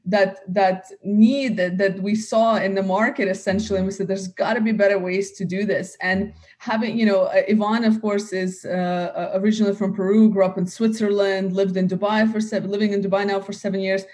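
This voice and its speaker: A woman's voice: medium pitch, steady tone, brisk, hardly any pauses, darkish tone. A woman from Russia, a company co-founder, talking casually in an interview and filling her speech with "you know" asides.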